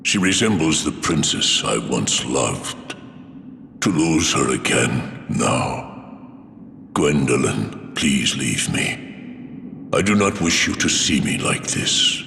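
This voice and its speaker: An actor playing a grieving, proud king and father in a video game, speaking in a grave, halting, solemn way. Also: very deep voice, huge pitch swings, gravelly, bright, forceful tone, reverberant, long reverb tail, a little hiss or hum, full character voice.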